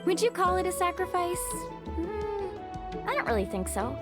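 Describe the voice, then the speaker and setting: A friendly young female guide in a game. Her high voice is earnest and upbeat, with music clearly playing behind her. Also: big pitch swings, a little slow, full character voice. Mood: reflective, sincere. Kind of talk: English video game voice acting.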